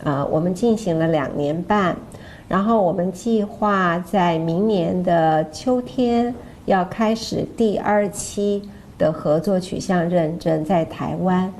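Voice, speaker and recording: Woman, mellow and slightly gravelly, informal course presenter with hesitant delivery, some background noise. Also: on the low side. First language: Mandarin Chinese. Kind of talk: informal explanation to camera.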